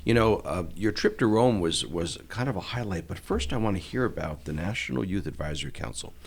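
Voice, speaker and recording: Lively low-voiced male podcast host. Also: a little fast, creaky, breathy edge. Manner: friendly, chuckling, with small restarts. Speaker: podcast host, diocesan chancellor. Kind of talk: welcoming podcast interview.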